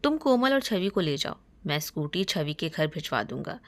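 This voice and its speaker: A woman voicing a young tough romantic hero who gives calm, firm orders in a story. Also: low voice, normal speed. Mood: calm, commanding.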